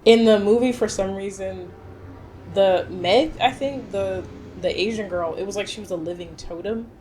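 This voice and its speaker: A woman chatting casually and with strong opinions as co-host of a film review show, in animated, slangy speech with some swearing. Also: mid-range voice, normal speed, a little hiss or hum, poor audio.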